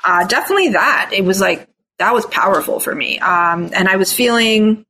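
A middle-aged woman musician who grew up in Toronto with Italian as her first language, answering interview questions in a halting, searching way with many restarts. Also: mid-range voice, bright, forceful tone, slight background noise, poor audio. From Canada, raised in Toronto. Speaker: singer and bassist, interview guest. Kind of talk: casual interview talk.

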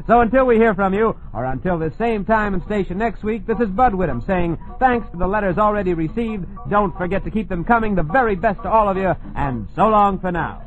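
A man's voice: sits high, brisk, hardly any pauses. An American man hosting an old-time radio show, reading scripted announcements in a chummy, folksy way to listeners. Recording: dull vintage radio recording with music. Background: music behind the voice.

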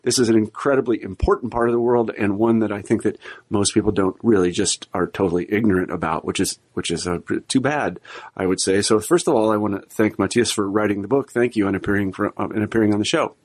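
A man's voice: lowish voice, fast, a little mellow. A middle-aged man hosting an interview podcast, speaking with many fillers and restarts. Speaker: podcast host.

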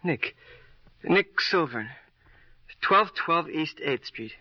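An actor playing a bitter, brittle seventeen-year-old city boy in an old radio crime drama, halting and needling. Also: fairly high pitch, animated, no echo. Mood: sly, insinuating. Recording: dull, dry old radio recording.

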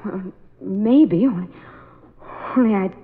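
A woman's voice: mid-range voice, takes it slowly, slightly rough. An actress playing a timid, jumpy woman with a nervous, hesitant manner in a radio drama. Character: jumpy, timid young woman house-hunting. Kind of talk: old-time radio drama acting. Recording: muffled, noisy old radio recording.

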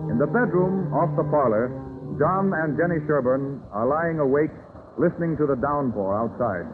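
An actor narrating a historical radio drama in a solemn, stately, declamatory manner. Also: male voice, fairly high pitch, close to an everyday voice. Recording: tinny old radio recording, loud music.

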